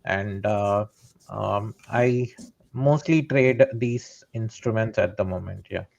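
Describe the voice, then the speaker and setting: A man's voice: on the low side, slow, creaky, darkish tone, lo-fi sound. A man, a trader explaining his strategy step by step and often checking in with "right?". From India. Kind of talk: live trading session explanation.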